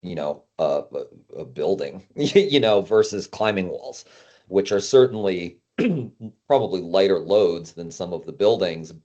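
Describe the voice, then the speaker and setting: A man's voice: sits low, expressive, measured pace, warm tone, highs cut off. A man hosting a casual interview, talking informally and asking long, rambling questions. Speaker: podcast host, climbing consultant.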